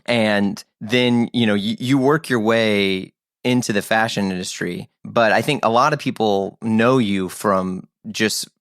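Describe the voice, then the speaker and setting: A man's voice: on the low side, steady tone, a little slow, fairly bright tone, dry recording, studio quality. A man hosting a casual podcast interview, enthusiastic, laughing often and restarting his sentences. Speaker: podcast host.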